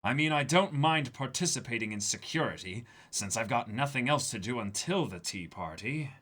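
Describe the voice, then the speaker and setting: A man's voice: medium pitch, expressive, normal speed, a bit bright. A man doing a commanding female pirate officer. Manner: firm, clipped, commanding.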